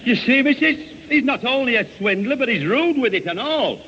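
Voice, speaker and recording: An exasperated Northern English father blusters and grumbles with sarcasm in an old radio sitcom. His voice is high and swoops about animatedly, over clear noise on a muffled recording. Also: lively intonation, moderate pace. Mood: sarcastic. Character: exasperated, threatening working-class father, sarcastic grown man. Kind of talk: 1970 radio sitcom acting.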